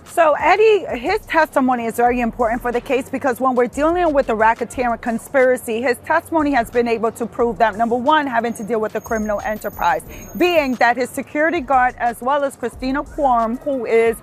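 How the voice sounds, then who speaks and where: A woman's voice: a little high, brisk, faint music behind. A woman giving spoken legal analysis in a news interview.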